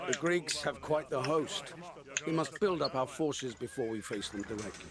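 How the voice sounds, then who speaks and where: An actor playing a stern, calculating Persian commander in a video game, with a formal, commanding manner. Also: male voice, mid-range voice, animated, normal speed, a crowd in the background, close to an everyday voice. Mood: measured, calculating. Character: stern, calculating Persian military commander, loyal to his king. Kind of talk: theatrical video game voice acting. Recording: loud music behind the voice. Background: loud music.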